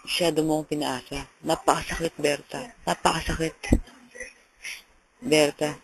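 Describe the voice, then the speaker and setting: A man's voice: fairly high pitch, level tone, moderate pace, vocal fry, rough recording. A man playing a lovesick, jealous young boyfriend in an amateur radio drama, with a Filipino accent. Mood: heartbroken.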